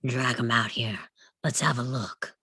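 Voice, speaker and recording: A man's voice: medium pitch, unhurried, pushed and bright, breathy edge, no room sound. A man reading a menacing, terse and cold cowboy outlaw in a live table read of a western script. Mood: cold, commanding.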